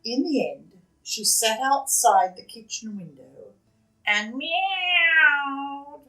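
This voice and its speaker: Woman, children's story read-aloud, lively swooping pitch, dramatic hushed-to-loud delivery, roomy echo. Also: recorded from a distance. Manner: expressive, dramatic shifts between hushed and loud. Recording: roomy echo, away from the mic.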